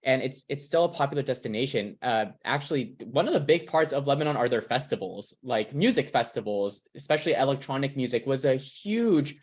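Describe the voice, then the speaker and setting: A young man from California, earnestly concluding a presentation on a video call, reflective, with restarts. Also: mid-range voice.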